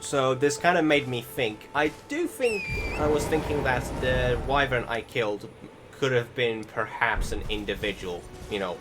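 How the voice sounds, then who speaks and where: A man giving casual, rambling gameplay commentary in an informal, sweary way. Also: mid-range voice. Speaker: gaming video creator.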